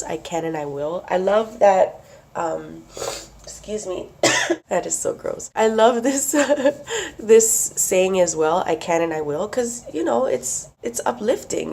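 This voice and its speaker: Young woman vlogger, hoarse and sniffly from a cold, chatty and giggly, low gravelly voice. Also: mellow, slight background noise. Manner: chatty, laughs often. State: losing her voice, sick and sniffly. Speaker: craft vlogger. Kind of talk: casual show-and-tell.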